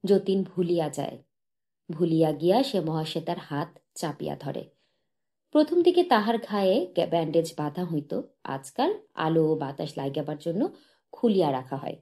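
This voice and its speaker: A woman narrating a literary short story as an audiobook, in a measured, dramatic reading manner. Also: low-pitched, mellow.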